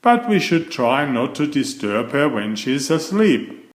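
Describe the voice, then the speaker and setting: A man voicing a gentle mother bear in a children's storybook, explaining things patiently and soothingly. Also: mid-range voice, very animated, measured pace, roomy sound. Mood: patient, reassuring.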